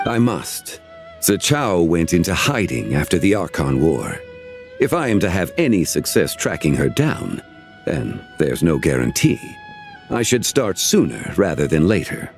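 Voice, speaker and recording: A man voicing an ancient, composed immortal in a video game, solemn and courteous, with measured, archaic phrasing. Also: low voice, sing-song, over music, heavily acted. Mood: calm, formal. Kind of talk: scripted video game voice acting.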